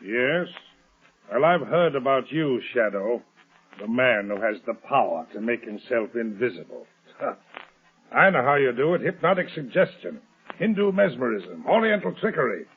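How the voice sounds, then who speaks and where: A man's voice: medium pitch, sing-song, moderate pace, breathy edge. An actor playing a ruthless male swindler in a 1930s radio drama, with a hard, commanding, scornful delivery. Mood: defiant, threatening. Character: ruthless swindler, bossy, defiant criminal schemer. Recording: tinny narrow-band 1930s radio recording.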